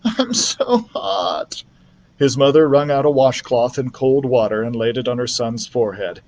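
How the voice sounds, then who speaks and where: A man's voice: mid-range voice, little pitch movement, a little fast, warm tone, a little hiss or hum. Man narrating a children's book. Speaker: library storytime reader.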